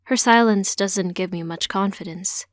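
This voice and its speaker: Woman narrator reading a first person audiobook story, medium pitch, really dry close sound. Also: unhurried, no room sound.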